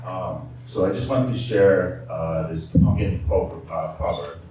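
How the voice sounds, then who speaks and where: Deep-voiced man in his fifties, echoey hall. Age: 50s. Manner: halting, with frequent filler pauses. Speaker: panel moderator. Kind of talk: reading prepared panel remarks. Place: community arts center event room. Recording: echoey room, distant mic, faint noise.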